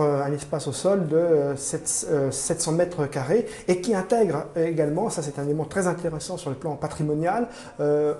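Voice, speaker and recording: A man giving formal, measured explanations in an interview as a museum curator, often pausing mid-phrase as he searches for words. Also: a little high, dark tone. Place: indoor room in a museum. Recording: roomy, echoing indoor sound.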